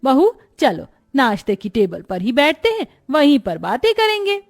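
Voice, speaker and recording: A woman's voice: sits high, wildly swooping pitch, normal speed, heavily acted. A woman voicing a middle-aged mother-in-law who gives commanding orders in a cartoon family story. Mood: bossy. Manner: commanding, matter-of-fact demands. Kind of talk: animated moral story dialogue.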